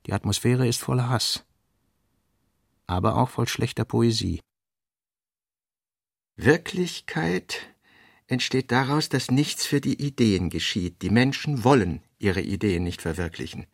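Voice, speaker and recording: A man reads out fragmentary notes in a radio-play studio, in a measured, clipped delivery. His voice is gravelly, with vocal fry in it. He sits at a middling pitch. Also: lively intonation. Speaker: narrator.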